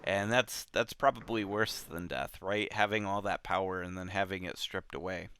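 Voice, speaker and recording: A man hosting a casual book discussion, thinking aloud informally in halting bursts. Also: low voice, fairly even intonation, bright, forceful tone, smooth. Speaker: book club podcast host.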